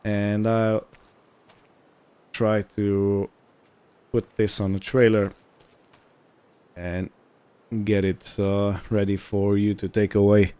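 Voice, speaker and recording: Male game roleplay host, mellow and fairly low, casual improvised narration delivered in short halting phrases. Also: completely flat delivery, clean tone. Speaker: host of a roleplay gaming series.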